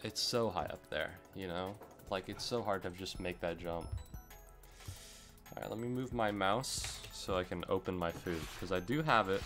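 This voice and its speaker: A young man streaming a game from home, his voice hoarse and gravelly from screaming, rambling and swearing as he plays. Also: deep voice, moderate pace, fairly bright tone, faint music behind. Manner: rambling, swears when frustrated. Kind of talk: live gameplay stream chat. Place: home room.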